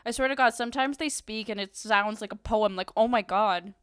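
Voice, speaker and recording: A woman's voice: fairly high pitch, fairly quick, clear, resonant tone, dry recording, clean studio sound. Bubbly young woman chatting on stream. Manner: bubbly and chatty, many "like"s and giggles. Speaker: VTuber streamer. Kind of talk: casual live-stream chat with viewers.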